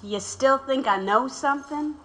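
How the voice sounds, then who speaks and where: An actress playing a defiant, sharp-tongued 1880s anarchist speaker in an audio drama. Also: female voice, high voice, moderate pace. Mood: bitter.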